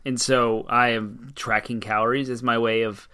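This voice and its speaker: A man with a bright, clearly forward voice speaks at a middle pitch. He is a podcast co-host in easy back-and-forth chat. His delivery is casual and conversational. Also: flat delivery, normal speed.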